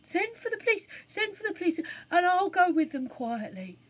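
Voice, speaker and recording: A woman voicing a frightened, remorseful working-class man, a novice burglar in a children's storybook, with dramatic drawn-out exclamations and colloquial speech. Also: very high voice, very animated, pitched above the performer's own voice. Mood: dismayed, fearful.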